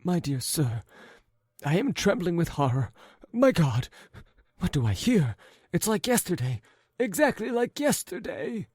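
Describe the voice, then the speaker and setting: A man's voice: high voice, sing-song, slow, warm tone, no echo, studio quality, pitched above the performer's own voice, exaggerated voice. A man doing a trembling, fretful husband. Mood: horrified.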